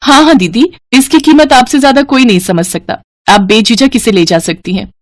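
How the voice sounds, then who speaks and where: A breezy young cartoon sister-in-law, acted by a woman storyteller. She chatters politely and explains everything away lightly. Her pitch is raised, in a dry recording. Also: normal speed, no echo, lightly acted.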